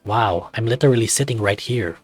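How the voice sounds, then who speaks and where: Visual novel son, male performer: young adult, sarcastic gamer, needling banter, soft music bed. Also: fairly low pitch, a little fast, husky, no room sound.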